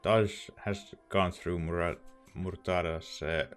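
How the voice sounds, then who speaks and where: A man with a low voice does casual gameplay commentary. He reacts in a halting, muttering way, with faint music behind him. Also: steady tone. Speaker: let's play host.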